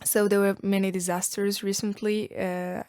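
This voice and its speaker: A woman's voice: medium pitch, little pitch movement, moderate pace, darkish tone, clean tone, dry recording. A young Algerian woman whose first language is Arabic, answering interview questions in a casual, thoughtful way, with many fillers and restarts. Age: young adult. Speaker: guest.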